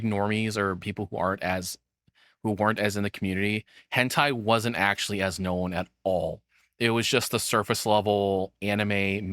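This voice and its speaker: A man's voice: fairly low pitch, fairly bright tone, no room sound, studio quality. A man in his thirties giving casual, rambling reaction commentary as the host, swearing freely. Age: 30s.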